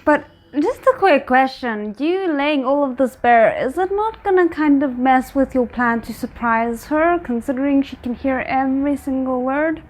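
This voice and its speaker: A woman giving casual, expressive reaction commentary on a drama, thinking aloud as she goes. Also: high voice, moderate pace, hardly any pauses, low-quality recording. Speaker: reaction video host.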